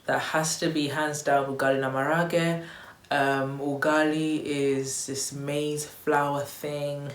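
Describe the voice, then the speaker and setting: Young woman from Tanzania, casual upbeat vlogger, low-pitched, slight room echo. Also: flat delivery, slow. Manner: chatty and upbeat, loose with fillers like "um" and "you know". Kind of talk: casual vlog chat to camera. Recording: echoey room with faint noise.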